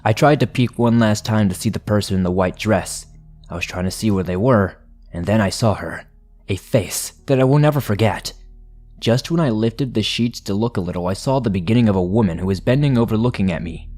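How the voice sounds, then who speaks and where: A low-pitched man reads scary stories aloud in a steady, measured way. Faint music runs quietly under his voice. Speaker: horror story narrator. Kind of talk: scripted narration of submitted stories.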